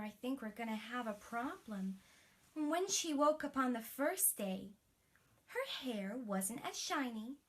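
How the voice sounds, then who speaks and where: Lady reading kids a bedtime story princess-style, slow, highish voice, very expressive. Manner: expressive, exaggerated, breathy whispered emphasis. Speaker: children's storyteller in princess character. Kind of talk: live bedtime story for young children, with chatty asides.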